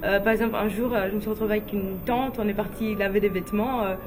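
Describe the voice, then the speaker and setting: A young woman from Guinea telling personal stories in a relaxed, chatty way, with frequent fillers and light laughs. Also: medium pitch, fast talker, noisy background. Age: young adult. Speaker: interviewee, beauty pageant runner-up.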